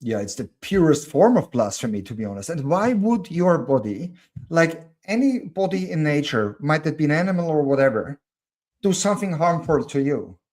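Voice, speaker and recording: A man's voice: a little high, big pitch swings, normal speed, a little mellow. A man with a German accent, a guest talking earnestly and thinking aloud with repeated starts. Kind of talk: casual conversation.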